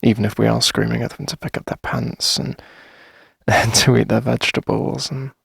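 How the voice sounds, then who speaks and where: A man playing a tender, reassuring boyfriend in an intimate ASMR roleplay, speaking softly and close to the mic with gentle, coaxing pauses. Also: steady tone, no room sound, full character voice. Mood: tender, hopeful. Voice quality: husky, breathy, creaky low murmur. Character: tender, reassuring boyfriend longing to start a family. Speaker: ASMR audio roleplay performer. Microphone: close dry microphone.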